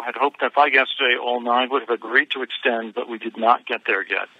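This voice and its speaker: A man reading a formal, measured prepared statement as a company chief executive on a conference call. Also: mid-range voice, dry recording. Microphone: telephone. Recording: dull telephone conference line.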